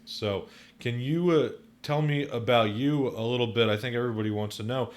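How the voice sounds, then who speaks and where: A man's voice: medium pitch, fairly quick. A man hosting a local podcast, chatting casually with a guest and heaping praise on him. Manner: friendly, effusive with praise, some restarts. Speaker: podcast host. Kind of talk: casual interview chat.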